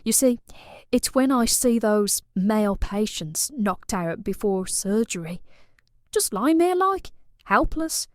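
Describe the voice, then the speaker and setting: A woman's voice: mid-range voice, lively intonation, slow pace, dry recording, studio quality. An actress playing a bored, randy, blunt British suburban woman in an audio play, talking in a colloquial, cajoling way.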